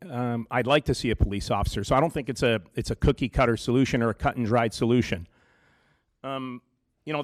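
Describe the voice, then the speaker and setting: A man's voice: medium pitch, no room sound. Earnest man with filler-heavy speech. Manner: earnest, frequent ums and uhs. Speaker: public deputant at a police board meeting. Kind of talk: prepared public comment. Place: public meeting hall.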